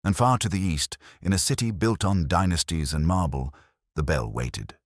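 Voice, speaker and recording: A man with an extremely deep voice narrates a literary mystery. His reading is solemn and dramatic, with wide swings of intonation and a dry, close sound. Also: animated, moderate pace, no room sound. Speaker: audiobook narrator. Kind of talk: scripted first-person mystery narration.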